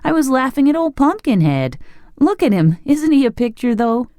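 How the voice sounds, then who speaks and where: A narrator voicing a mischievous, impudent young boy from a storybook in a lively manner. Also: sits high, normal speed, no room sound, studio quality, voice pushed up. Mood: amused protest. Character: cheeky, mischievous young boy, high-voiced.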